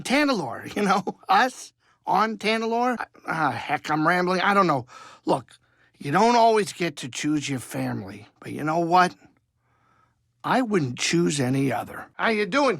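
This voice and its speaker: Video game alien cook-pilot, older, grumpy and rambling; highish voice with very animated pitch, colloquial and fretful. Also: male voice, vocal fry, no echo, clean studio sound, heavily acted. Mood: awkward, sincere, then flustered. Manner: colloquial, fussy, blustering, rambling. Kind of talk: video game character dialogue.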